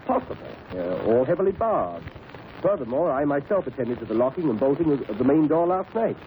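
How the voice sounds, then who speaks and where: An actor playing an anxious, formal museum curator with an earnest, emphatic manner, in 1930s radio drama style. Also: male voice, medium pitch, very animated, brisk, lightly acted. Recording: dull, faintly hissy 1930s radio recording.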